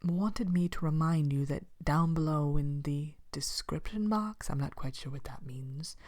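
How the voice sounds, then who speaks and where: A woman playing a vain, haughty evil queen from a fairy tale, speaking softly and often whispering in an ASMR character monologue. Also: sits low, unhurried. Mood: weary, superior. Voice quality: soft-spoken, often breaking into whisper. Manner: haughty, languid, dryly wicked. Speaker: character host of an ASMR show.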